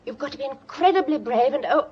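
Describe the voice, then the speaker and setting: A woman's voice: sits high, expressive, fast talker, highs cut off. A woman playing an earnest, guarded young woman in a British radio drama, anxious and hesitant, with a British accent. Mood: tense, guarded.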